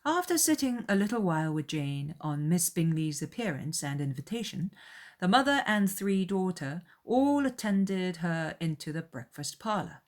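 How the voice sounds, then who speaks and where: Animated woman narrating a novel. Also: lowish voice. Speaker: audiobook narrator. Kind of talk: scripted literary narration.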